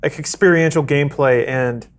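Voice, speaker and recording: A man's voice: on the high side, moderate pace. A man on a podcast asking probing, analytical questions in a casual chat. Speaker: podcast co-host.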